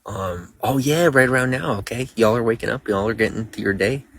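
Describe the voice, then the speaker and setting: A man's voice: medium pitch, a little fast, a bit bright, slight background noise. A young man streaming live, rambling casually while greeting viewers by name and reading out their comments. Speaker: livestreamer.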